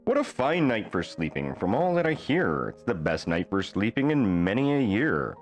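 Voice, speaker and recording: Man, children's storybook narration, lively intonation, theatrical, deliberate phrasing, faint music behind. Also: lowish voice. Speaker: storybook reader. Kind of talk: expressive reading of a rhyming children's bedtime story.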